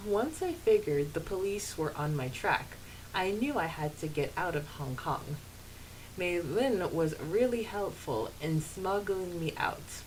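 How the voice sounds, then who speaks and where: Low-voiced woman podcaster, noisy recording. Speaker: podcast co-host. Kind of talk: casual chat; reading out quotes and listener comments.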